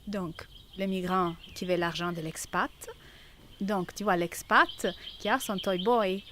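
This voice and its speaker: A woman's voice: fairly low pitch, fairly even intonation, a little slow, fairly bright tone. A middle-aged woman from Italy, giving emphatic, conversational personal testimony amid birdsong and background noise. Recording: clear outdoor noise with birdsong. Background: birdsong and ambience.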